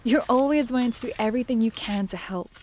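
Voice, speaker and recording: A woman's voice: a little high, fast, a little mellow, slight background noise, close to an everyday voice. A woman playing an affectionate young student fiancée in an audio drama, with a conversational delivery. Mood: affectionate, teasing. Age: young adult.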